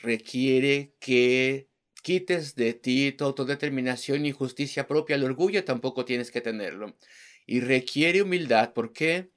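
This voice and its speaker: A man's voice: mid-range voice, measured pace. A man preaching an evangelistic sermon, driving his points home with rhetorical questions and repeated words in lists.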